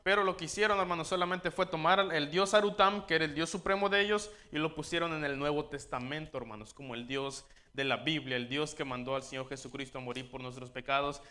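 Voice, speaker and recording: A man's voice: on the high side, quick pace, hardly any pauses, bright, forceful tone. A missionary man making an earnest appeal to a church congregation, calling them brothers in nearly every sentence. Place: church sanctuary.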